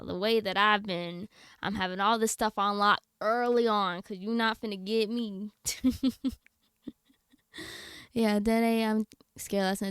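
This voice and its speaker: A woman's voice: medium pitch, fairly bright tone, smooth, dry recording, clean studio sound. A young adult woman livestreamer chatting casually with her viewers in a slangy, blunt, candid way. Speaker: game livestreamer.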